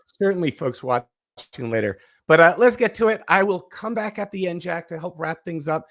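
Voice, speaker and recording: A friendly man hosts an online lesson and welcomes viewers with upbeat energy. His voice sits a bit high for a man. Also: expressive, normal speed, fairly bright tone. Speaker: online show host.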